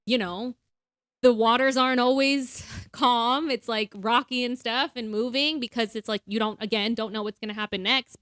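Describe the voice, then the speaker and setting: A woman with a fairly high voice talks reflectively on a faith podcast, dotting her sentences with "like" and "you know." The sound is close and dry, with no room echo. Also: a bit bright. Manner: reflective, lots of "like" and "you know", laughs easily. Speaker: podcast co-host. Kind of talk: casual faith chat.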